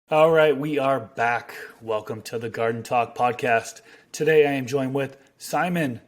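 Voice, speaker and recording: A man in his thirties with a slightly raspy voice, hosting a podcast, reading sponsor spots steadily and chatting casually with a guest, close on a studio microphone at home. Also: medium pitch. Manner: steady, list-reading delivery. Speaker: podcast host. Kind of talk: read sponsor ads, then casual interview. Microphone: studio microphone on arm.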